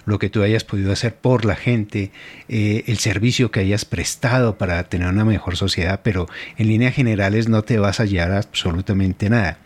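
A middle-aged man hosting a radio interview, reflective and halting, with frequent fillers. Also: fairly low pitch, fairly even intonation, husky. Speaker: radio host.

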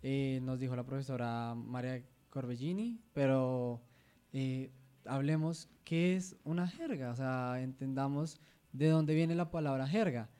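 Man, Colombian radio co-host, measured reading of definitions, warm mellow tone. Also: mid-range voice, clean tone.

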